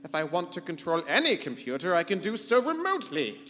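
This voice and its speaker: Man voicing a pompous computer villain, formal diction, animated, retro sci-fi radio comedy, echoing hall with hum. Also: high voice, fairly quick, exaggerated voice. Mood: haughty, scheming. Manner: pompous, theatrical, formal wording. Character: pompous, scheming, morality-free rogue spaceship computer, male-voiced. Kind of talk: live comedic sci-fi radio play in 1940s serial style. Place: convention hall stage before live audience. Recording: echoey live hall, humming background noise.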